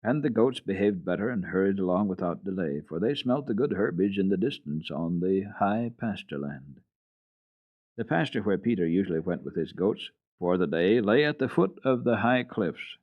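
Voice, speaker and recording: Male storyteller for a children's radio hour, fairly low voice, animated dramatic reading with wide melodic range. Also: moderate pace, highs cut off.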